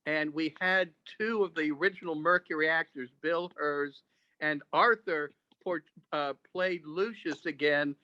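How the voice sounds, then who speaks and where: A man telling earnest, halting reminiscences to a group over a video call. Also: fairly high pitch, sharp tone, no echo. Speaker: speaker sharing memories at an online club meeting. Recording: dull, dry video call audio.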